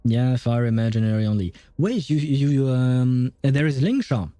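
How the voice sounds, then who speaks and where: A male game streamer chats casually with his viewers while commenting on the play. His voice is very dark and soft-edged at a medium pitch, recorded close and dry. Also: fairly even intonation, measured pace, dry recording. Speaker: game livestreamer.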